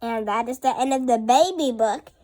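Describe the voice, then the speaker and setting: A young girl reading a picture book aloud as host, in a careful, halting way. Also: on the high side, a little mellow. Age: child. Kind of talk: storybook read-aloud.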